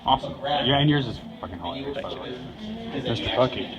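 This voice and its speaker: A man's voice: mid-range voice, expressive, fast. A man interviewing fellow participants in a casual, chatty backstage style. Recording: dull sound amid loud crowd chatter. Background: busy crowd chatter.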